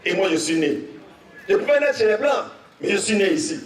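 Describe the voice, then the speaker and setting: A young man with an Ivorian French accent preaching a testimony sermon, telling his story and rising to shouts with repeated phrases. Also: high voice, sing-song, a little fast, slight background noise. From Côte d'Ivoire. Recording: echoey, from far off, faint music.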